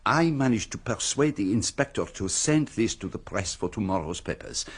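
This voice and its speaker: An actor in a radio drama playing a shrewd, courteous detective, formal, deliberate and slyly knowing. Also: male voice, lowish voice, lively intonation, breathy edge. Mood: measured, thoughtful. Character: shrewd, courteous, self-satisfied Belgian detective.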